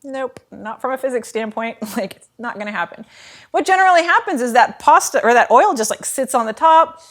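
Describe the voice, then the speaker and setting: A woman in her thirties hosting a casual cooking lesson in a home kitchen, chatty and animated, with lots of fillers. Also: on the high side, fairly quick. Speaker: cooking show host.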